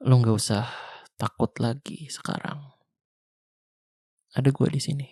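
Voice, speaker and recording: A young man with a Jakarta slang accent playing a weary ex-soldier survivor of a zombie apocalypse, speaking softly and intimately in an ASMR roleplay. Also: medium pitch, fairly even intonation, vocal fry, husky, dry recording, clean studio sound. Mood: tender. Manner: soft, slow, intimate, half-whispered. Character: weary male ex-soldier survivor, tender protector. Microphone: close mic.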